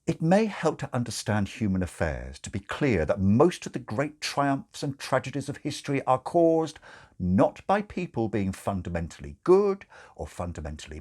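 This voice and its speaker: A male narrator with a fairly low, husky voice reads a comic novel. His delivery is wry and theatrical, with lively swings in pitch.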